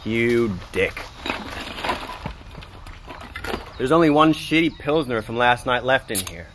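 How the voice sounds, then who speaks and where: A man's voice: mid-range voice, animated, normal speed, fairly bright tone, lightly acted. A man with an American accent playing a brash, foul-mouthed, hot-headed teenage boy in an audio drama. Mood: grumpy. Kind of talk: naturalistic audio drama dialogue. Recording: noisy outdoor ambience behind.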